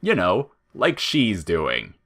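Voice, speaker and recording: A man's voice: low-pitched, huge pitch swings, takes it slowly, fairly bright tone, full character voice. A man voicing a spiteful, falsely chirpy talking flower in audio fiction, taunting and needling with whiny outrage and menace. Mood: mocking.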